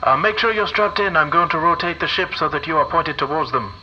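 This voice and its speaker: A man voicing an anxious, flustered alien starship co-pilot in hurried protest, through a radio intercom filter. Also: on the high side. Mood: anxious, instructing. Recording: dull band-limited radio sound.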